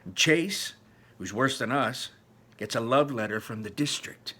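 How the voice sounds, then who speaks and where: A man's voice: mid-range voice, animated, a little slow, sharp tone, slightly rough, exaggerated voice. A man voicing a resentful, sarcastic middle-school bully who gripes with sneering emphasis, reading a children's novel aloud. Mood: indignant. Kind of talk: first-person children's novel read aloud.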